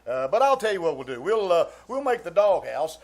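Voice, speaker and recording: An actor playing a bumbling, blustery middle-aged uncle who exclaims and chuckles in a live old-time radio comedy. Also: male voice, sits high, big pitch swings, a little fast, exaggerated voice. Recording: noisy live theater stage recording.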